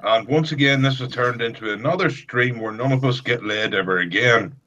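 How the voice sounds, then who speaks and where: Brief: male co-host, bright sharp voice, wry dry teaser, dull lo-fi recording. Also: mid-range voice, a little fast. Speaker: stream co-host. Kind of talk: casual banter.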